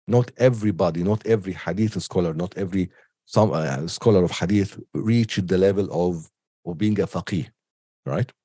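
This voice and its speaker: A man giving an unscripted online lecture with a cold, halting often with ums and restarts. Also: deep voice, mellow, no room sound. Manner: hesitant, many ums and restarts. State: has a cold. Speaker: teacher.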